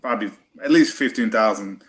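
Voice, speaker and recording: A man's voice: lowish voice, brisk. A man in his forties chatting casually on a live stream, talkative and rambling, with restarts and the odd curse. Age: 40s. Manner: talkative, rambling, with frequent restarts and mild cursing. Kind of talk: casual chat.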